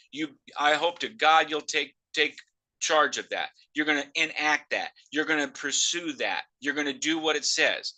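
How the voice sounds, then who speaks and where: A man giving a loud, emphatic sales pitch on a live video call through a noise-cancelling microphone.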